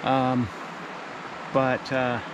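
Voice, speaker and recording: Rambling man by a rushing stream. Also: mid-range voice, monotone. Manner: rambling, thinking aloud with fillers and restarts. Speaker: fishing vlogger. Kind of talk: casual narration while hiking and fishing. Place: outdoors by a mountain stream. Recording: outdoor recording with stream noise. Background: rushing stream water.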